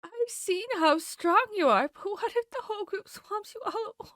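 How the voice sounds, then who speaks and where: A woman's voice: very high-pitched, expressive, normal speed, dry recording. Woman acting as earnest female vampire hunter, dry sound. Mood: desperate, distraught. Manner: intimate, addressing the listener directly, shifting between hushed and urgent. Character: female vampire hunter, protective, urgent, caring comrade. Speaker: audio roleplay voice performer. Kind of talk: acted fantasy ASMR audio roleplay.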